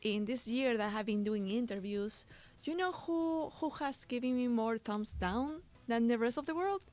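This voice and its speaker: Peruvian-accented woman, a touch high. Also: expressive, moderate pace, darkish tone. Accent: Peruvian Spanish. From Peru. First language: Spanish. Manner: laughs often, talks in long winding sentences. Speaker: interviewer. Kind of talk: conversational interview. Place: podcast studio. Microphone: studio mic.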